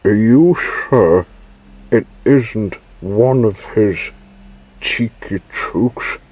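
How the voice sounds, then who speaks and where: Man voicing an urgent, husky little hero car. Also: on the low side, extremely slow, darkish tone, exaggerated voice. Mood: doubtful. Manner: exclamatory, urgent. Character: male Mini car, brisk, caring, practical hero.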